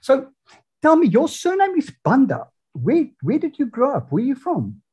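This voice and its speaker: A man hosting a podcast, chatting casually and reacting with quick, enthusiastic exclamations. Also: extremely high pitch, wildly swooping pitch, a little mellow, husky, lo-fi sound. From South Africa. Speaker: podcast host. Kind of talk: casual interview chat.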